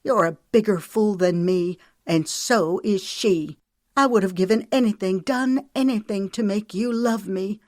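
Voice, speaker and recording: A woman's voice: mid-range voice, unhurried, no echo, clean studio sound, voice pushed up, lightly acted. A woman voicing a heartbroken, furious young Southern wife with a Southern US accent, speaking in a raised, impassioned way. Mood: bitter.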